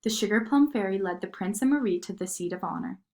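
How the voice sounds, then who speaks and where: A woman narrating a children's story in an expressive read-aloud style. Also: mid-range voice, quick pace, gravelly.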